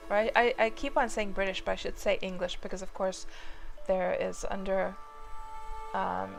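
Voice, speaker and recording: A woman's voice: medium pitch, gravelly. A woman, live-streaming a game and chatting loosely with viewers, rambling with lots of fillers. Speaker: game livestreamer. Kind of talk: casual live-stream chat while playing. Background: music playing under the voice.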